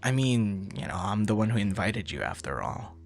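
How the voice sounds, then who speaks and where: A man's voice: lowish voice, very animated, moderate pace, faint music behind, heavily acted. A man playing a shy, dorky young man in an ASMR boyfriend roleplay, stammering and bashful, smitten with a stranger. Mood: nervous, hopeful. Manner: stammering, bashful, awkward, breathy laughs and sighs.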